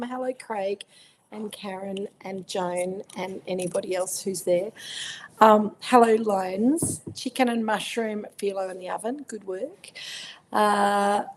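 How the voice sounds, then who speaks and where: A middle-aged Australian woman speaks into her laptop mic at home, hosting a live online tasting. Her voice sits at a mid pitch with a gravelly, croaky edge. She is chatty and friendly, calling out viewers by name between remarks. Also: slight background noise. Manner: chatty, greets viewers by name, warm asides. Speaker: winemaker hosting a virtual tasting. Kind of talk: casual livestream chat.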